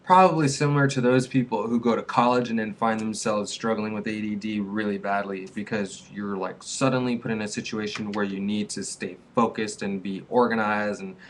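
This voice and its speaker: A man's voice: on the low side, steady tone, fairly quick, fairly bright tone. A man in his twenties, a small business owner, talking casually and earnestly to camera with a rambling, repetitive flow. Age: 20s. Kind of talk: casual vlog advice to camera.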